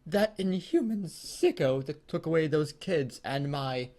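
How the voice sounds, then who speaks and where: A man's voice: lively intonation, unhurried, darkish tone. A man voicing a distraught, grieving mother from a story with melodramatic pleading. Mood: melodramatic distress. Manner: high, overwrought, melodramatic pleading.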